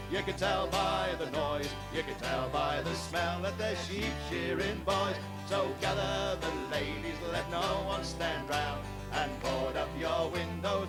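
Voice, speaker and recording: A man's voice: high-pitched, poor audio. A man singing a rowdy, comic country ballad with a band. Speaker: band singer. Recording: loud band music behind the voice.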